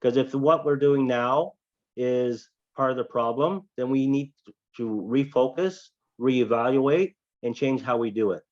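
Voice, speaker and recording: A man with a Canadian accent answering as a council candidate, measured and hesitant, often repeating himself. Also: medium pitch, a little mellow, lo-fi sound.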